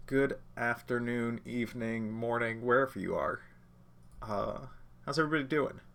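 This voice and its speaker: A man's voice: medium pitch, moderate pace, creaky, clean tone. Slightly nasal man, under the weather. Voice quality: a bit nasal. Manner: relaxed and chatty, addresses viewers directly. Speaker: judge training instructor. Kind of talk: casual livestreamed lesson.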